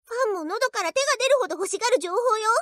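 Very high-pitched, proud anime idol girl. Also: lively intonation, racing, fairly bright tone, no room sound, clean studio sound. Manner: perky, boastful, theatrical, girlish. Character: cheerful, proud, self-confident young female idol. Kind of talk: anime game character voice lines.

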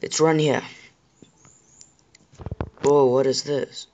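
A man with a sore throat and a slightly rough voice, chatting casually to viewers while he plays a survival game. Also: mid-range voice, level tone, drawn-out words, a little mellow, highs cut off, a little hiss or hum. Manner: chatty, apologetic, addresses viewers directly. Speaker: gaming channel host. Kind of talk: casual gameplay commentary.

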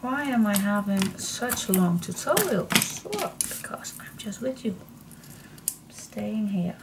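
A woman's voice: mid-range voice, animated, slow. A middle-aged Dutch woman with a Dutch accent, hosting a casual, chatty craft tutorial and often murmuring to herself as she works. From Netherlands. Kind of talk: casual chatty hands-on demonstration. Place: indoor craft table. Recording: clearly noisy room recording.

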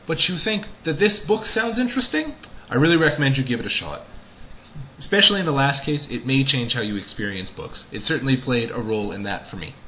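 A man's voice: on the high side, pushed and bright, a little hiss or hum, poor audio. Enthusiastic young man giving a casual review. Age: young adult. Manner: enthusiastic, chatty, emphatic. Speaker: vlogger reviewing audiobooks. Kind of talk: casual spoken review to camera. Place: small indoor room at home. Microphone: webcam microphone at arm's length.

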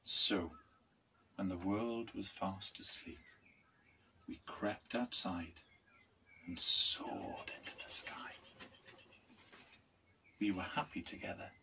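A man's voice: low-pitched, fairly even intonation, slow pace, pauses often, bright, forceful tone, slight background noise, low-quality recording. A middle-aged man reading a children's picture book aloud expressively, as a teacher would. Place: small wooden room, indoors.

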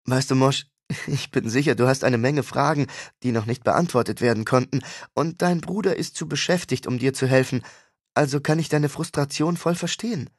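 Guy doing a chill monster-hunter woman, calm and flirty, slightly raspy. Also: mid-range voice, normal speed, no echo, clean studio sound, natural voice.

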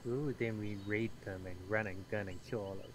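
A man's voice: on the low side, fairly even intonation, brisk, noisy background. A man chatting casually with teammates during a video game. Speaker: gaming teammate.

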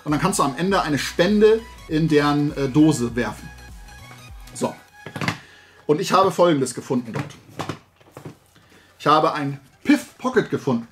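A man's voice: a little high, lively intonation, a little slow, long pauses, soft background music. A middle-aged man chatting casually and enthusiastically in a rambling haul vlog. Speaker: YouTube host and collector. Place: indoor room full of shelved toys.

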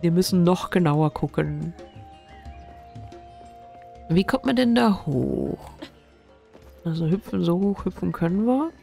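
A woman's voice: on the low side, lively intonation, slow, vocal fry, pauses often, mellow, faint music behind. A woman with a cold and a stuffy nose gives casual game commentary, puzzling things out aloud as she plays. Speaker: gaming streamer.

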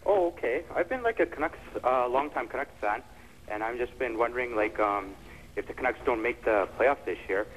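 A man's voice: medium pitch, animated, a little fast. A man phoning a sports show, rambling and hesitant as he asks a casual question. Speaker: phone-in caller. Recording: echoing, faintly noisy phone call on broadcast.

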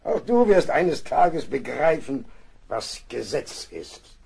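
An actor playing a seasoned ship's surgeon in an audio drama, gruff, blunt and fatherly. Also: mid-range voice, very animated, slow, warm tone, exaggerated voice. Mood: blunt, stern. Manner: blunt, matter-of-fact, fatherly.

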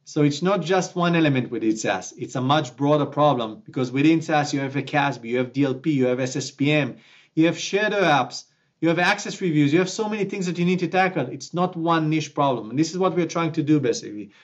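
Man, 30s, video-call guest, animated, restarts a lot, slightly high voice. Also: brisk, lo-fi sound. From Israel. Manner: animated, gestures with both hands, many restarts. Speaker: company founder guest. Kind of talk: remote video interview. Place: small office at home, indoors. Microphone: webcam at desk, video call.

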